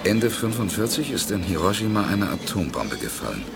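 An actor playing a calm, decisive space hero and leader in a 1980s German radio play, speaking with measured authority. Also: male voice, fairly low pitch, creaky, heavy background noise. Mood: measured, probing. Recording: music behind studio radio drama.

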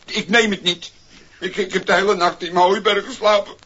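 An actor playing a scruffy, good-natured, cheeky vagrant who exclaims and gets flustered, in a 1960s radio play. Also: male voice, high-pitched, fairly even intonation, a little fast, pushed and bright, husky, exaggerated voice. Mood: indignant. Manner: stammering when flustered, exclaiming, talking to himself. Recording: muffled, noisy 1960s vinyl single recording.

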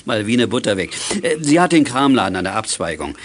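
A man's voice: on the low side, very animated, a little fast, slight background noise. An actor playing a genial, easygoing middle-aged father in a children's radio play, speaking in a jovial, reassuring way. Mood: relaxed, genial. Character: genial, easygoing father leading a group of kids.

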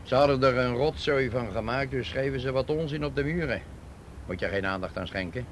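Radio play detective, male actor: grumpy, scornful, sardonic man of medium pitch, faint noise and street ambience behind him. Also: brisk, lightly acted. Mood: disgusted. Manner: gruff, scornful, sardonic, swearing. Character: grumpy, sarcastic male police detective at an arson scene. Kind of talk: naturalistic Dutch radio play acting.

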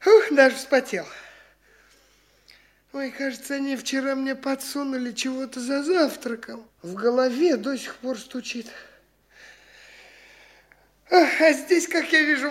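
An actor playing a boastful, lazy young fop in a classic radio play, with an airy, self-satisfied drawl. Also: male voice, extremely high pitch, a bit bright. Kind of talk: classic stage-style radio acting.

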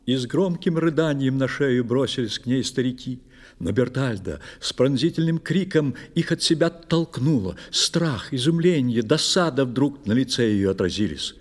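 A man narrating a children's audio play in verse with a theatrical, expressive delivery. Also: medium pitch, normal speed. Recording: long hall-like reverb.

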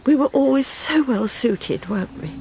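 Middle-aged wife, prim and self-satisfied yet brooding, lively pitch swings, faint noise behind. Radio play. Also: female voice, normal speed. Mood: musing, uneasy. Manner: prim, clipped, self-reassuring. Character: proper, self-satisfied English wife and mother, anxiously brooding. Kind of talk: radio drama acting, dialogue and inner monologue.

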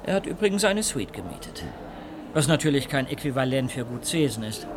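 An actor in a radio play as a cultured, haughty gentleman musician with a dryly judgmental manner. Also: mid-range voice, big pitch swings, fairly quick, background noise, lightly acted.

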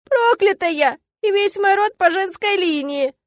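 A woman's voice: very high-pitched, a little slow, no room sound, studio quality, pitched above the performer's own voice. A woman voicing a lovesick, self-reproaching young witch with a dramatic, weepy delivery in an audiobook. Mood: miserable confession. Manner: wailing, sobbing.

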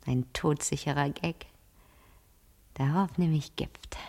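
An actress playing a calculating fifteen-year-old girl in a German radio crime drama, speaking deliberately and sometimes hushed. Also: low voice, gravelly, heavily acted. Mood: smug, calculating. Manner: slow, deliberate, hushed at times.

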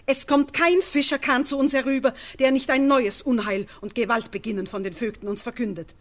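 An actress playing a wise, courageous farmer's wife in a classic radio drama, speaking verse earnestly and persuasively. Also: female voice, high voice, fairly even intonation, brisk, natural voice.

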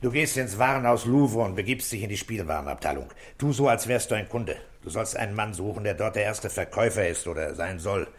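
Male actor, low voice: gruff but caring police inspector, terse and commanding, vintage radio drama. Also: expressive, a little fast, hardly any pauses, lightly acted. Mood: brisk, commanding. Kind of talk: 1960s German radio play acting.

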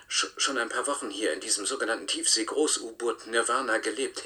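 An actor in a German radio play voicing the simulated copy of a husband, pleading for his life in a halting, emphatic way. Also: fairly low pitch, pushed and bright, recorded from a distance. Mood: urgent, pleading. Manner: halting, emphatic, repeats words.

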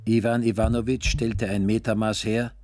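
An actor playing a resigned prisoner who narrates a radio play in a sober, measured way. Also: male voice, fairly low pitch, flat delivery, over music, natural voice.

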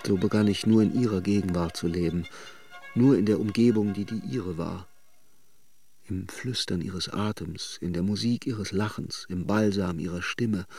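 A man's voice: fairly low pitch, a little mellow, no room sound. Earnest lovestruck man, close dry sound. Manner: earnest, yearning, insistent. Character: lovestruck, obsessive man, narrating lover of a married woman. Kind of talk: radio drama acting.

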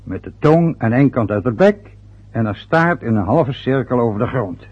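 A man's voice: mid-range voice, animated, normal speed, husky. An older man playing a stubborn, sarcastic father in a radio drama. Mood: aggrieved. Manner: grumbling, sarcastic. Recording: dull lo-fi old radio recording.

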